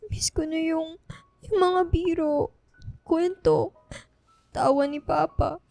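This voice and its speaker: Discouraged student daughter, Filipino radio drama: high, gravelly-edged, plaintive, tearful. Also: female voice, fairly even intonation, takes it slowly, a little mellow, no echo, faint music behind, heavily acted. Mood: sorrowful. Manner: plaintive, subdued, tearful delivery. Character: grieving, discouraged young student daughter, respectful to her mother.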